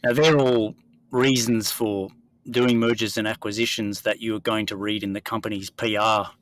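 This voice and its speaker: A man from Australia, hosting an investing podcast and explaining in a measured conversational way. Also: lowish voice, steady tone, fairly bright tone, rough recording. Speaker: podcast host.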